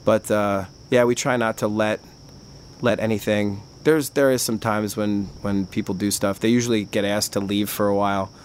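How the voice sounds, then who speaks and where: A man's voice: fairly low pitch, fairly even intonation, moderate pace, slight background noise. A man who works at a bar, talking in casual chat, rambling and chatty with frequent restarts and filler words. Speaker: bar worker.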